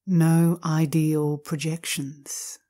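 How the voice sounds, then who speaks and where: A woman with a low, mellow voice guides a meditation. She reads very slowly and calmly, setting out each short phrase on its own and leaving space after it. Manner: calm, measured, short phrases with long pauses. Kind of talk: slow guided meditation reading.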